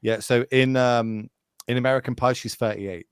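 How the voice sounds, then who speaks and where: A man's voice: medium pitch, fairly even intonation, normal speed. Rambling man in his thirties. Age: 30s. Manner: rambling, goes off on tangents, many fillers and restarts. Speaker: podcast guest. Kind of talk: casual chat.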